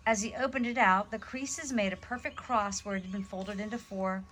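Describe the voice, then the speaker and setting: A woman reading a children's storybook aloud in an animated way, stressing key words for drama. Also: mid-range voice, normal speed, faint music behind.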